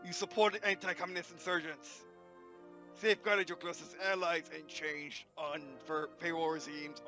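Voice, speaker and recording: A man putting on the voice of a grave American president reading a farewell address in a slow, solemn way. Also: on the high side, close to an everyday voice.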